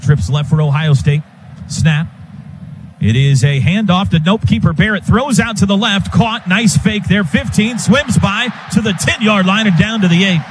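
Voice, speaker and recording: American football play-by-play man over loud stadium noise. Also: high voice. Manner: clipped, rapid phrases following the action. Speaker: radio play-by-play announcer. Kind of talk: live American football radio commentary. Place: stadium radio booth. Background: loud stadium ambience.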